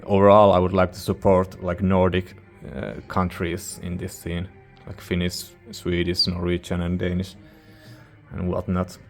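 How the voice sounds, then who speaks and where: A man's voice: sits low, completely flat delivery, measured pace, creaky, faint music behind. A man who is a non-native English speaker gives a casual, rambling review as a host, informal and hesitant as he searches for words. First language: not English. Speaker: music reviewer, channel host.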